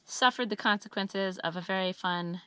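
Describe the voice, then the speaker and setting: A woman vlogger talks through her monthly plans in a casual, upbeat way, with plenty of ums along the way. Her voice is bright and forward but a bit scratchy from hoarseness. The sound is close and dry, with no room echo. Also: mid-range voice, moderate pace. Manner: chatty, upbeat, with frequent fillers. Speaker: homesteading vlogger. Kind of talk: casual monthly update vlog.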